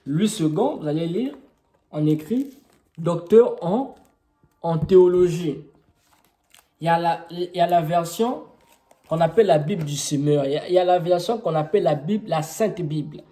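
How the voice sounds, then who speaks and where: Mellow-voiced Congolese man explaining. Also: fairly high pitch, normal speed. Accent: Congolese French. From Congo-Brazzaville. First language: Kituba. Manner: explanatory, with rhetorical questions and many fillers. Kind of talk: informal teaching testimony.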